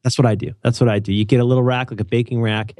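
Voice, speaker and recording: A man hosting a casual chat, animated and opinionated, joking often and restarting his sentences. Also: mid-range voice, rapid-fire, no room sound, studio quality. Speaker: podcast host.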